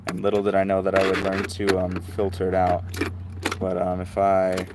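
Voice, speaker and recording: A man's voice: sits low, completely flat delivery, moderate pace, heavy background noise. A man chatting casually and hesitantly as he hosts, rambling through commentary on recordings as a radio hobbyist. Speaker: host, shortwave radio hobbyist.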